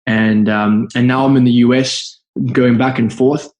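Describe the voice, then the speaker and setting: A man's voice: mid-range voice, steady tone, unhurried, a little mellow. Zimbabwean-accented guy telling his business story, earnest and open, kinda roomy echo. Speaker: guest entrepreneur. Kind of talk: podcast interview storytelling.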